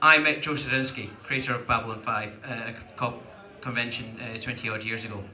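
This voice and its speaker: A man's voice: mid-range voice, steady tone, a little fast, pushed and bright. A man with a Scottish accent, a panel host chatting to an audience in a wry, digressive, emphatic way. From Scotland. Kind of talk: casual chat and anecdotes to an audience. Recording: echoey convention hall PA.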